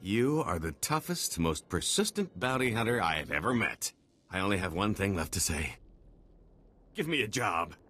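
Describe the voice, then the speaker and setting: A slippery, boastful wheeler-dealer on the run from a video game. His voice is deep and husky, and it swings widely as he pours on theatrical, wheedling patter like a born salesman. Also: big pitch swings, moderate pace, a bit bright, faint music behind, heavily acted. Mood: pleading, persuasive. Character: slippery middle-aged male con-artist fugitive, boastful wheeler-dealer.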